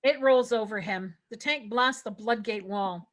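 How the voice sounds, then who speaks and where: A woman's voice: on the high side, unhurried. A woman narrating stage directions at a scripted table read, occasionally stumbling over words.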